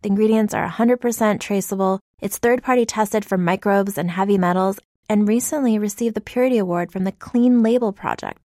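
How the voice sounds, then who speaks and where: A woman's voice: mid-range voice, a little fast, hardly any pauses, warm tone, no echo, clean studio sound. A woman reading a scripted ad as a company founder, conversational and earnest. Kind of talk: scripted ad narration.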